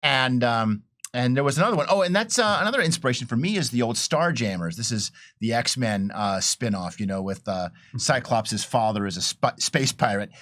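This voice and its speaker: A man's voice: medium pitch, animated, moderate pace, fairly bright tone. A man on a casual panel, talking informally and enthusiastically as a game designer.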